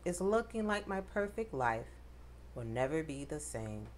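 A woman reading a rhyming picture book aloud to children, with expressive, dramatic emphasis. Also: low voice, a little slow, a little hiss or hum. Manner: addresses children directly, dramatic emphasis on rhymes.

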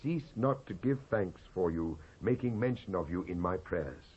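A man's voice: sits low, expressive, normal speed, a little mellow, slightly rough. A British man giving a solemn, declamatory dramatised reading from the Bible. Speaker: Bible reader. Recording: muffled old cassette transfer.

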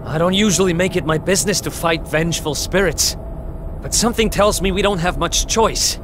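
An actor playing a cocky, wary young adventurer in a video game, casual yet determined. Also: male voice, high-pitched, fairly quick, lightly acted. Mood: wary resolve. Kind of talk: video game cutscene acting. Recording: clear background noise.

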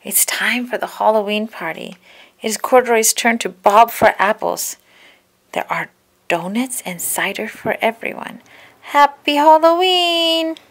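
Woman, children's storybook reader, mid pitch with some creak, expressive read-aloud delivery. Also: a little slow.

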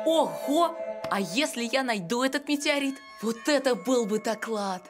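A woman's voice: fairly high pitch, expressive, a little slow. A woman voicing a thoughtful, determined young boy in a children's cartoon, earnest in manner. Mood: excited. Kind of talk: animated children's cartoon acting. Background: music.

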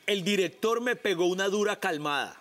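A man, a comedian joking through a studio game in an animated, quick way. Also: sits high, heavy background noise. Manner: animated, quick-talking jokester. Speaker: comedian contestant. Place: TV studio set.

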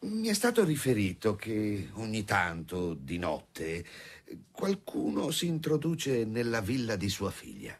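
An actor playing a shrewd, authoritative police commissioner in a radio drama, deliberate and courteous. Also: male voice, lowish voice, huge pitch swings, no echo, full character voice. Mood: polite, probing. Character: shrewd, commanding male police commissioner on a case. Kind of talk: Italian radio drama acting.

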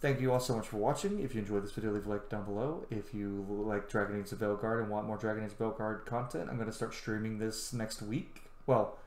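A man's voice: fairly low pitch, steady tone, brisk, few pauses, darkish tone. A man in his thirties hosting a gaming video with casual let's play commentary. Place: small room indoors at home. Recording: roomy echo, faint background noise.

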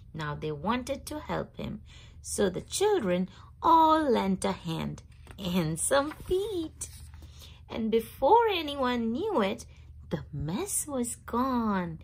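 Female storybook reader, unhurried and expressive, husky edge, stretches key words for children. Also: fairly high pitch.

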